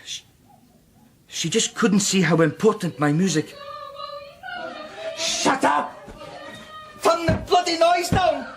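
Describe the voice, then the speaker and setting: An actor playing a brooding, guilt-ridden Scottish composer, intense and defensive, in a radio drama. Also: male voice, extremely high pitch, big pitch swings, slow, gravelly, pauses often, music in the background, exaggerated voice. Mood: furious shouting.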